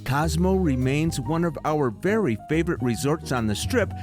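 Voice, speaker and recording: A man giving scripted, polished voice-over narration in an upbeat manner. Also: a little high. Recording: voice-over with guitar music behind.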